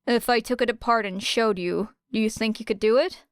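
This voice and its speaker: A woman voicing an awkward, reluctant teenage girl in an audiobook, dry, halting and understated. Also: a little high, no echo, lightly acted. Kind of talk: audiobook character voice.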